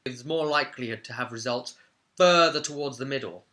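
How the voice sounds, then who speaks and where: A man's voice: mid-range voice, fairly quick, sharp tone. A man presenting an informal explainer on game rules in an enthusiastic, conversational manner. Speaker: presenter of a tabletop game guide.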